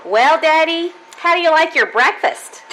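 A woman's voice: extremely high pitch, lively intonation, measured pace, sharp tone, slight background noise, exaggerated voice, poor audio. A woman playing a sweet, earnest teenage schoolgirl daughter in an old-time radio comedy. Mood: sweet, dutiful.

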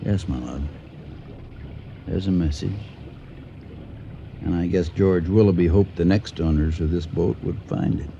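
Dark-voiced, dry older husband. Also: male voice, deep voice, unhurried, vocal fry, long pauses. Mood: dry, pondering. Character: older husband, dry-witted, deliberate amateur sailor and sleuth. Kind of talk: 1980 radio drama acting. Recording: muffled radio recording, faint noise.

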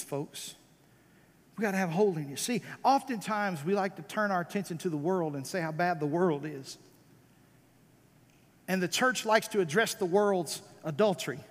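A man's voice: high voice, fairly bright tone. A man with an American accent preaching a fervent revival sermon as a pastor, emphatic, repeating phrases and asking rhetorical questions. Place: church service, amplified in a large room. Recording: reverberant large hall.

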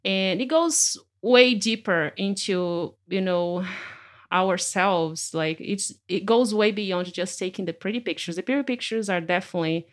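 A woman photographer hosting a podcast interview in her studio, speaking gently and effusively with many fillers. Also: medium pitch, a little slow. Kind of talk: warm conversational interview.

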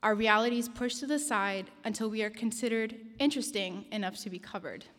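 A woman's voice: a little high, a bit bright. Young woman giving a sermon, earnest storytelling, lots of hall reverb. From Denmark. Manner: earnest, personal storytelling with measured pauses. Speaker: khateebah giving a pre-sermon talk. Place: mosque prayer hall.

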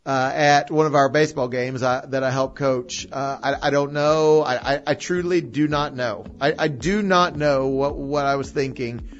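A man co-hosting a church podcast in casual chat, with a loud, carrying voice. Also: mid-range voice, moderate pace, few pauses. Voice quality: loud voice that carries. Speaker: podcast co-host, church leader. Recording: dull lo-fi podcast audio.

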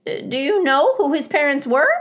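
A high, squawking storybook goose, acted out by a woman reader, bossy and blunt. She fusses in motherly fashion, swooping emphatically through her opinions. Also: lively intonation, normal speed, fairly bright tone. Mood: indignant. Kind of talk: children's storybook character reading.

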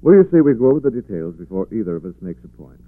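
An actor playing a suave, patient, wealthy young gentleman in a 1940s radio drama. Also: lowish voice, sing-song, very fast, no room sound. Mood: patient, explaining. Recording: muffled lo-fi 1940s radio recording.

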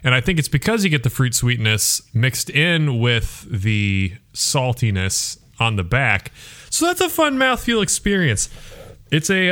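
A man's voice: medium pitch, a bit bright. Man in a casual, descriptive review chat. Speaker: beer reviewer and show regular.

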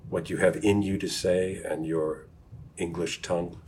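A man's voice: deep voice, level tone, a little slow, darkish tone, slight background noise. A man who teaches, hosting an academic conversation and asking reflective, probing questions. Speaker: teacher, discussion host.

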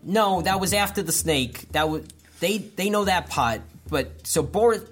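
A player in a tabletop roleplay, talking excitably in long rambling runs. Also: medium pitch, unhurried, faint music behind.

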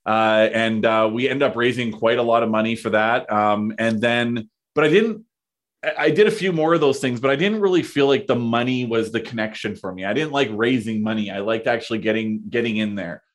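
A man in his thirties talks through ideas in casual conversation. His voice sits at an ordinary pitch. He hesitates often, restarting phrases and dropping in fillers as he thinks aloud. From Canada. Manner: halting, many fillers and restarts. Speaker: podcast guest, entrepreneur.

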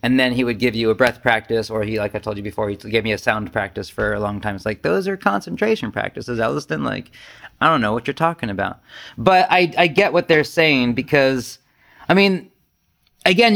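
A man giving an informal, rambling Zen talk as a retreat teacher, conversational, animated and self-deprecating. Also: medium pitch, a little fast, gravelly.

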